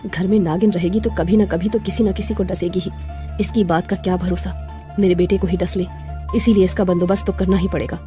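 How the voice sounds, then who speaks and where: A woman's voice: on the low side, fairly even intonation, a little fast, warm tone, faint music behind, close to an everyday voice. A woman voicing an anxious, suspicious middle-aged mother-in-law in a dramatic moral story.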